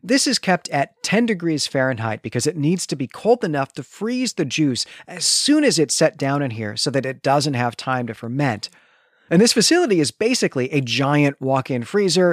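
A man co-hosting a podcast, retelling and analysing a story in a measured, explanatory way. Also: on the high side, dry recording, studio quality. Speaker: podcast co-host.

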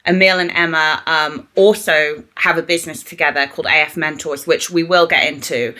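A woman's voice: low-pitched, steady tone, brisk, sharp tone. A woman hosting a podcast, interviewing a guest in a friendly way and encouraging him with short replies. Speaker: podcast host.

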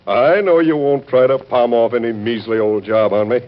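A man's voice: mid-range voice, steady tone, normal speed, lightly acted. A man acting a folksy, cheeky sailor down on his luck, talking in nautical slang with familiar, hesitant patter in an old-time radio drama. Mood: cheeky, hopeful. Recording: dull, band-limited old radio recording.

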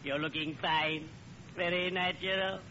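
Genteel ghoulish undertaker, deadpan, formal, old radio comedy, muffled and very noisy recording. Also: male voice, sits high, a little slow. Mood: genteel, morbidly cheerful. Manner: formal, deadpan, morbid punning. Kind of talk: 1940s radio comedy acting.

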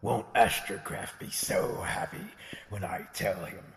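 An actor voicing a gruff, wicked werewolf jailer with a gravelly rasp and mock-polite taunts in a comedic fantasy audio drama. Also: male voice, on the low side, measured pace, whispered. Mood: defensive, menacing. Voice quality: gravelly, raspy.

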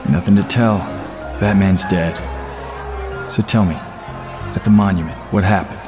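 A brooding retired vigilante, played by a man speaks in a low, grave voice, confiding and haunted. Music plays clearly behind him in this audio drama. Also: fairly even intonation, unhurried, crowd noise. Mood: serious.

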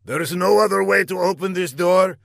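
A man's voice: high-pitched, brisk, creaky, a bit bright, no echo, studio quality, voice pushed up, exaggerated voice. A man voicing a pompous, hot-tempered villain boss who barks threats in an imperious, exasperated way in a comic audiobook. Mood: flustered.

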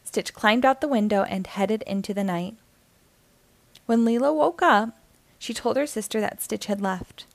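A woman's voice: on the high side, a little slow, gravelly, dry recording. A woman reading a children's story aloud as scripted narration.

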